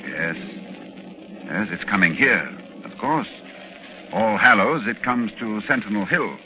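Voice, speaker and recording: An actor playing a grave, anxious scholarly doctor battling a monster, urgent and dramatic in 1940s radio style. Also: male voice, on the low side, expressive, unhurried, bright, forceful tone, muffled recording, a little hiss or hum, exaggerated voice. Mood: grave foreboding. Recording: vintage 1940s radio recording with music.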